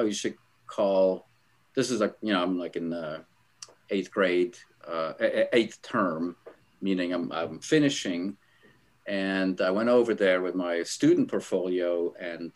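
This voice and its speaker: A middle-aged man from Switzerland tells stories on a podcast in a rambling, easygoing way. His voice sits on the low side, and he fills his sentences with "you know" and "um". Now and then he breaks into short laughs. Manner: rambling, filler-heavy, laughs while telling stories. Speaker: guest, album art director. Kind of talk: casual interview storytelling.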